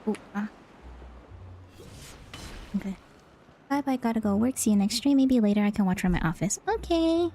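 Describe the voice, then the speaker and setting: A woman's voice: medium pitch, animated, moderate pace, long pauses, mellow, slight background noise. A woman livestreamer chatting casually as she plays, often murmuring her thoughts aloud. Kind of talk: casual gaming stream chatter.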